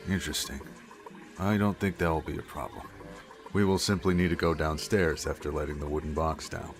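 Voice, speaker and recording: A man playing a courteous, analytical character in an amateur game dub, speaking formally and with measured politeness. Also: very deep voice, fairly even intonation, soft background music. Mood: composed. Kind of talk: amateur visual novel game dub acting.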